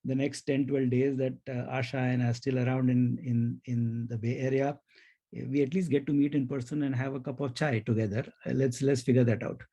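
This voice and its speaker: A man with an Indian accent hosts an interview podcast. His voice is relaxed and mellow at a middling pitch. He phrases his thoughts in a reflective, effusive manner, as in an easy conversation. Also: fairly quick, slightly rough. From India (grew up in Mumbai).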